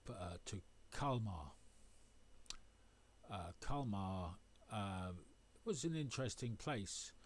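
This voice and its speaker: Slow, hesitant man narrating a sailing trip. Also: mid-range voice. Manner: hesitant, full of uhs and ums. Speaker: sailing vlogger.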